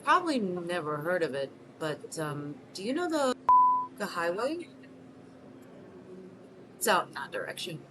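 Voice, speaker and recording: A woman playing a hesitant, low-voiced single mother. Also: big pitch swings, a little slow, long pauses. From Mexico City. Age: 30s. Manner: hesitant, filled with ums and restarts. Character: 38-year-old single mother, soft-spoken and self-conscious. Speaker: interviewee in a short film. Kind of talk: scripted film monologue, answering unheard questions. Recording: noticeable background hiss.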